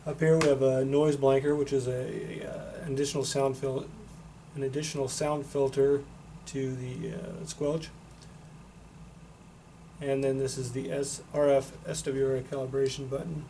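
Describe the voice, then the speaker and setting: Guy casually walking thru product features, pretty flat matter of fact voice, bit of noise behind him. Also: mid-range voice, moderate pace. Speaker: product reviewer and demonstrator. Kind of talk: casual product demonstration, unscripted. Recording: noticeable background noise.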